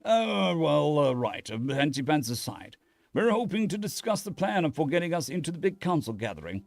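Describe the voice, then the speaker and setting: A man's voice: on the high side, very animated, moderate pace, gravelly, no room sound. A man voicing an easygoing, wry male space adventurer in a story, with a casual, folksy manner. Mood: awkward.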